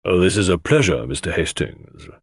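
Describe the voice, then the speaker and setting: A man's voice: very animated, moderate pace, husky, dry recording, clean studio sound, exaggerated voice. A man voicing an attentive husband in an audiobook, with unctuous, painstakingly polite speech. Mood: fawning. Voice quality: deep, unctuous.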